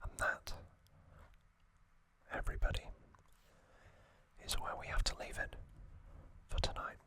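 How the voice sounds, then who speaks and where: A man hosting ASMR, narrating gently in a breathy, unvoiced whisper with frequent pauses. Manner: slow, gentle, pausing delivery. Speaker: ASMR channel host.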